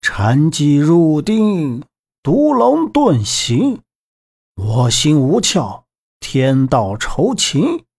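A man voicing a calm old grandfather in an audiobook, reciting measured, meditative verse in a chanting delivery. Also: a little high, gravelly, slightly rough, full character voice. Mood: serene, solemn. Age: older. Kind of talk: audiobook character voice.

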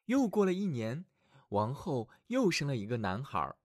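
A man tells a fairy tale as a storyteller for children. His scripted narration is dramatic and clearly phrased, with a pitch that rises and falls widely. The recording is dry and close. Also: wildly swooping pitch, normal speed, no room sound. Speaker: children's storyteller, show host.

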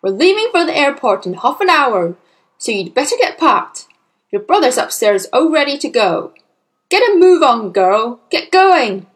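A woman's voice: high voice, sing-song, a little slow, fairly bright tone, voice pushed up, full character voice. A woman voicing an impatient, bad-tempered father in a children's audiobook, barking curt commands. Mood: brusque, hurried. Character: brusque, bad-tempered father, middle-aged man, impatient bully.

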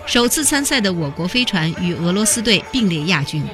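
An actress playing a TV sports commentator of about thirty, giving formal, proud results in a radio drama. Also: female voice, mid-range voice, big pitch swings, fairly quick, fairly bright tone, soft background music.

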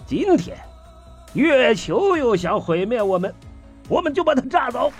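A man playing a fiery station director in an audio drama, delivering a grandiose, declamatory political speech in a husky, strained voice. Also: extremely high pitch, big pitch swings, a little slow, faint music behind, heavily acted. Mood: defiant.

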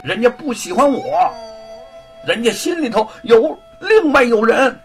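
A blunt, warm-hearted man, voiced by a male storyteller speaks earnestly and with hurt. His voice rides high and swings broadly. Quiet guitar plays beneath. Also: wildly swooping pitch, takes it slowly, exaggerated voice. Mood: pained. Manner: earnest, pained. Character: blunt, big-hearted older brother type, lovesick man.

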